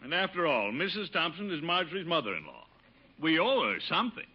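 Blustery middle-aged uncle, theatrical, highish animated voice, old radio sitcom, muffled recording. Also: male voice, fairly quick, breathy edge. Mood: pompous. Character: blustery, middle-aged bachelor uncle, pompous and easily smitten. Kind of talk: 1950s radio situation comedy acting.

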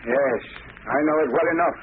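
A man's voice: medium pitch, normal speed, background noise, natural voice. An actor playing a frightened, bitter, pleading grocer and family man in an old radio drama. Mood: nervous, evasive. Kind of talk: 1940s radio drama acting. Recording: tinny old radio, loud crowd murmur.

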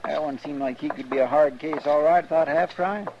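Man playing a creaky, folksy Western sidekick. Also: a little high, fairly quick, lightly acted. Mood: puzzled, earnest. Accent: rural American. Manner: folksy, deferential, drawling. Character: loyal, folksy, deferential marshal's helper, adult man. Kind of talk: 1950s radio western acting. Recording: dull, hissy 1950s radio recording.

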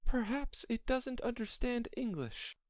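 A woman's voice: on the high side, animated, unhurried, darkish tone, no echo, voice pushed up. A woman voicing a polite, chatty little girl in a children's storybook, earnest and apologetic as she rambles on. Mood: polite, puzzled.

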